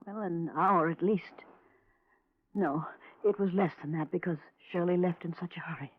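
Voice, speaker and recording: A woman's voice: lowish voice, normal speed, natural voice. An actress playing an anxious, grieving middle-aged mother in a 1950s radio drama, speaking in a hesitant, troubled way. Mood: anxious, cooperative. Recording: muffled, phone-like old radio recording.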